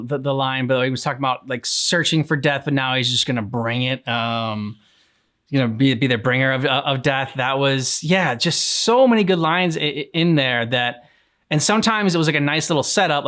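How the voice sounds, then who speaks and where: Male reaction co-host, medium pitch, casual, loosely phrased and laid-back delivery. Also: a little fast, a bit bright, smooth.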